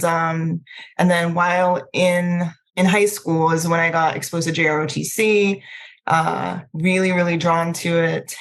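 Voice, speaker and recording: A middle-aged woman, talking informally as an interview guest, peppering her speech with conversational filler phrases. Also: low-pitched. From Trinidad, then Maryland, United States. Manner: informal, frequent "like" and "you know". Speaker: podcast guest.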